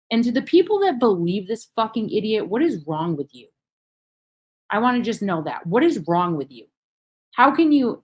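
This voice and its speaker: A woman reacts off the cuff as host, with a mid-pitched voice. She is blunt and openly mocking, swears freely, and repeats words for emphasis. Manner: emphatic repetition. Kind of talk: unscripted reaction commentary.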